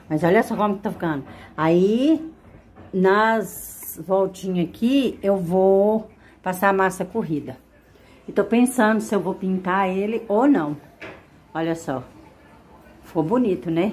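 A woman's voice: on the low side, a little mellow, slight background noise. A middle-aged woman from Brazil, chatting casually to her viewers while working in a small home kitchen, often tagging her sentences with little questions. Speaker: home vlogger. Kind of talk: casual chat to camera. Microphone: wide camera mounted in kitchen corner.

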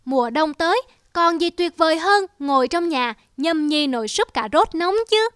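A woman's voice: very high-pitched, lively intonation, normal speed, a bit bright, no echo, studio quality. A woman voicing a carefree, boastful young rabbit brother in a children's cartoon, exclamatory and sing-song.

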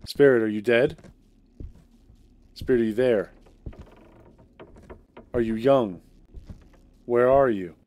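A man's voice: medium pitch, slow pace, pauses often, a little mellow. A man streaming a horror game, chatting casually with a friend and muttering to himself with sighs. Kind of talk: casual gaming chat.

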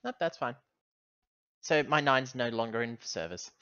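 A mid-pitched man chats casually during a tabletop game as one of the players. His sound is dry and a little lo-fi. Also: expressive, normal speed, bright, forceful tone, clear, resonant tone, no echo.

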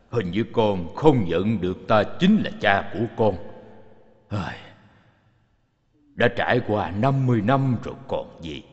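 An actor playing a wealthy old father, a sighing yet commanding master in an animated drama. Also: on the low side. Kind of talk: dubbed animated Buddhist drama. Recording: muffled, long studio reverb.

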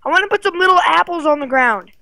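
A young, high and bright voice, like a child or early teen, chats casually as a co-player in a game. The manner is playful and chattering, and the sound is dry. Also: expressive, fast, vocal fry, no echo. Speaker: co-player guest. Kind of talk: casual gaming chat.

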